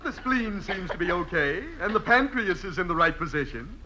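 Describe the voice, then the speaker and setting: A man's voice: high voice, expressive, normal speed, pushed and bright, full character voice. A man playing a breezy, mercenary doctor in a theatrical 1950s radio comedy style, hesitating with little "eh" sounds. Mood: brisk, officious. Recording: noisy 1950s radio broadcast recording.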